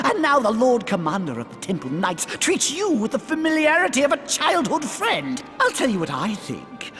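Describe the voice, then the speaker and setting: Haughty, scheming courtly villainess, swooping delivery. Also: female voice, on the high side, a bit bright. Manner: haughty, theatrical, archly formal. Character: scheming, venomous female political accuser, smug and cold. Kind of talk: video game cutscene acting. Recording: long reverb with music behind.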